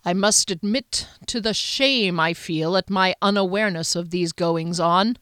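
A woman reading an audiobook voices a stern, powerful middle-aged male duke who speaks with measured, icy formality. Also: fairly low pitch, fairly bright tone, clear, resonant tone, dry recording, clean studio sound. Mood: cold anger.

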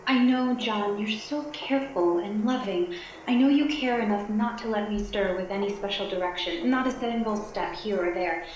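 A woman's voice: medium pitch, normal speed, natural voice. A woman playing an anxious, placating wife in radio play dialogue, tentative and pleading with her husband. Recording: very echoey room, faint noise.

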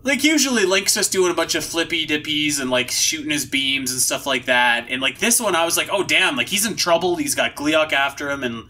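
A man's voice: a little high, expressive, fairly quick, few pauses, pushed and bright. A man hosting a podcast, recapping in casual, slangy, comedic chat full of hyperbole. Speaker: podcast host.

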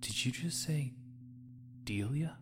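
A mid-pitched young hero, acted by a man, dry and wary. He talks casually and skeptically over faint ambience in a sci-fi audio drama. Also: expressive, slow pace, slight background noise.

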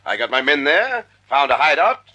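Smug blustery radio police chief, husky, voice swings all over the place. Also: male voice, on the high side, big pitch swings, normal speed, pushed and bright, exaggerated voice. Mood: smug, jovial. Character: self-satisfied, blustery police commissioner. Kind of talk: 1940s radio drama acting.

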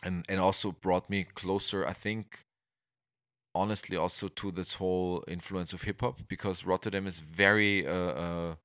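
Middle-aged austrian guy, really low voice, dry close sound, keeps restarting his sentences. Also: steady tone, normal speed, a bit bright, no echo. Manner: rambling, many restarts and fillers. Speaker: guest. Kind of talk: conversational interview.

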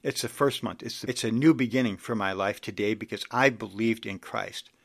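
A man's voice: lowish voice, normal speed, gravelly, fairly bright tone. A man in his sixties preaching an earnest sermon, reading scripture aloud and exhorting his listeners. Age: 60s.

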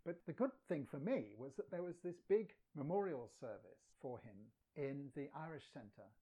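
A man's voice: fairly high pitch, lively intonation, normal speed, warm tone, slightly rough. A man who grew up partly in England, chatting as a guest poet and translator, telling anecdotes and laughing now and then. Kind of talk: casual storytelling.

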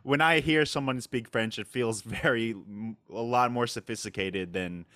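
Male host, mid pitch, rambling questions, dry close-miked sound. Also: moderate pace, bright, forceful tone, no room sound. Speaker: interviewer. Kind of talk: casual podcast interview.

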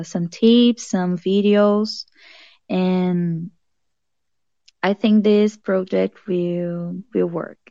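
A young Brazilian woman, a native Portuguese speaker, talks very slowly, halting now and then to search for words, and laughs often. Her voice is mellow and soft. The sound is dry and somewhat dull. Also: medium pitch, no room sound. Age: young adult. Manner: hesitant, laughs often, thoughtful. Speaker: guest, English teacher. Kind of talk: casual interview. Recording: dull lo-fi recording.

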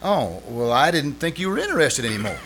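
Actor as exasperated, sarcastic radio-comedy husband. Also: male voice, on the high side, very animated, fairly quick, a bit bright, full character voice. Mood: flustered politeness. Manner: wry, flustered, protesting. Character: exasperated, sarcastic husband feuding with his wife. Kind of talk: old-time radio comedy acting. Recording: live stage performance with clear noise.

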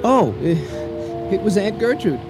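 Radio drama: young husband running late, flustered, stammering, very lively pitch swings. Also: male voice, over loud music, heavily acted, poor audio. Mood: amused, harried. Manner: hurried, stammering.

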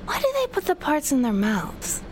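An actress playing a deadpan young woman who fixes robots, wry and casual, in a comedy sci-fi audio drama. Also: high voice, moderate pace. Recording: clear background ambience noise.

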